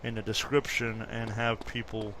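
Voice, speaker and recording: A man's voice: on the low side, completely flat delivery, moderate pace, noisy background. A man in his fifties casually chatting through gameplay commentary, rambling and correcting himself as he goes. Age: 50s. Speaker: gaming YouTuber.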